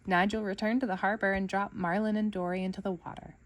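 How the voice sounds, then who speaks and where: A woman storyteller reading a children's book aloud in an expressive narrating style for kids. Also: mid-range voice, fast.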